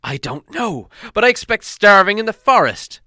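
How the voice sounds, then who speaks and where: A man's voice: high voice, big pitch swings, normal speed, pushed and bright, dry recording, pitched above the performer's own voice, heavily acted. A man voicing a proud, starving dwarf prisoner from a storybook, stubbornly defiant and indignant. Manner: indignant, insistent.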